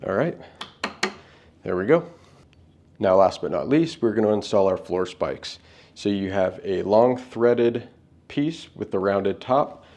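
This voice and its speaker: A man's voice: lowish voice, a little mellow, slight background noise. A man hosting an unboxing, giving casual, plain-spoken step-by-step setup instructions. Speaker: product unboxing host.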